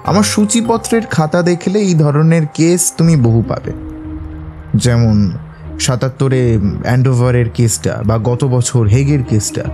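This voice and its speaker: Authoritative male detective, played by an actor, mellow voice. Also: medium pitch, lively intonation, soft background music, natural voice. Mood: musing, instructive. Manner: measured, authoritative, didactic. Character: confident, analytical male detective, coolly instructive. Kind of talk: audio drama acting.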